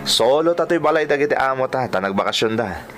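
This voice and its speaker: An actor playing a carefree, coaxing migrant worker and secret lover in a radio drama, casual, with a Filipino accent. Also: male voice, mid-range voice, lively intonation, quick pace, a bit bright, faint music behind, close to an everyday voice. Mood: easygoing, coaxing.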